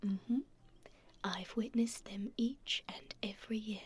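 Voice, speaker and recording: A woman playing a kind, teasing stranger in an intimate ASMR roleplay, speaking gently and unhurriedly in a breathy, whispery voice. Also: mid-range voice, fairly even intonation, takes it slowly. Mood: wistful, curious. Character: kind, teasing female stranger caring for a festival-goer.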